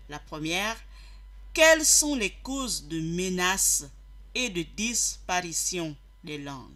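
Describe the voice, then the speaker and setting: Radio host guy, bright voice, says everything twice so listeners get it, kind of teacher-y. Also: sits high. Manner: repeats phrases for emphasis; didactic, addresses listeners directly. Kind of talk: radio show presenting.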